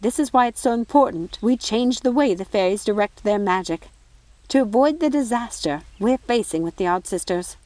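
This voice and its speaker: A woman's voice: a little high, animated, normal speed, darkish tone, no echo, natural voice. A woman voicing an older fairy nanny in a storybook, protective and remorseful, speaking with emphatic reproach. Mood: pleading.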